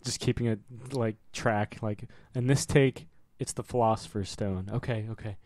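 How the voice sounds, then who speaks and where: A man's voice: fairly low pitch, normal speed, darkish tone, dry recording. A man co-hosting a casual film commentary, wisecracking and laughing often. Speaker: podcast co-host.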